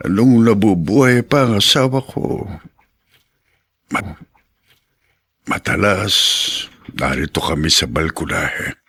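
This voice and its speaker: An actor playing an affectionate, wistful elderly widowed father in a radio drama, with a husky voice. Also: low voice, slow pace, long pauses, a bit bright, exaggerated voice. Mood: lonely, wistful. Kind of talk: Tagalog radio drama acting.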